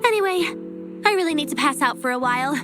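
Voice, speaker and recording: An exhausted young researcher in a video game. Her high voice grumbles wryly and dramatically, with music clearly playing behind it. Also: lively intonation, fast talker. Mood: weary, wry. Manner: wry, complaining.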